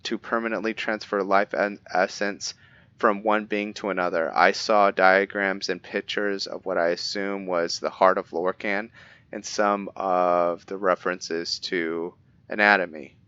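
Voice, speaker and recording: A man playing a low-voiced vampire assassin. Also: level tone, pushed and bright, smooth, no room sound, highs cut off. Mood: brooding. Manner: measured, stumbles now and then over words while reading. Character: brooding male vampire assassin keeping a journal. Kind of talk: reading in-character journal entries for a game roleplay.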